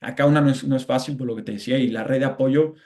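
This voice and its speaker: A man's voice: mid-range voice, steady tone, very fast, a little mellow. A Mexican man, an interview guest, talking reflectively in a casual conversation, often restarting and adding tag questions.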